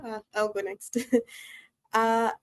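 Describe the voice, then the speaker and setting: A woman's voice: a little high, level tone, slow, clean tone, no echo. A young woman from Jamaica speaks on a video call. Her voice sounds dry and close, and her tone is easygoing. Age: young adult. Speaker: student ambassador.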